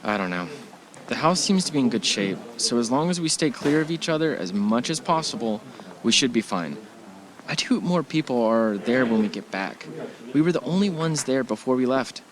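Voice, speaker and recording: A man's voice: mid-range voice, expressive, moderate pace, crowd noise, close to an everyday voice. A man playing an easygoing, affectionate husband on vacation in an audio drama, speaking casually with a joking manner. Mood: concerned. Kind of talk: audio drama acting, conversational. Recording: faint background noise.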